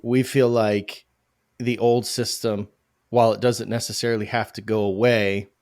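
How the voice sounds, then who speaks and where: Male guest, low voice, animated and emphatic, podcast interview, dry close sound. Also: moderate pace, no echo. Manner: animated, emphatic, self-correcting. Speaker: crypto project founder.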